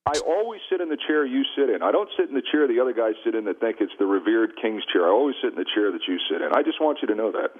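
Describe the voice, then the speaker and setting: A man's voice: mid-range voice, animated, very fast, hardly any pauses. An American man giving casual, emphatic sports commentary as a radio guest, repeating himself to make his points, over a phone line. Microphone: phone call. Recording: tinny band-limited phone line on radio.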